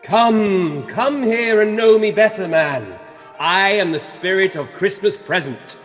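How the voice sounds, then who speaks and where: Man as hearty, slow Christmas spirit. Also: high-pitched, lively intonation, long reverb tail, faint music behind. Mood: jovial, commanding. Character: Ghost of Christmas Present, imposing, jovial bearded spirit. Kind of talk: amateur radio play acting.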